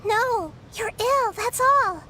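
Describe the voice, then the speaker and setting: A woman voicing a gentle, compassionate young goddess in a video game, calm and earnest. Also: very high voice, slow, a little hiss or hum, full character voice. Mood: earnest, pleading.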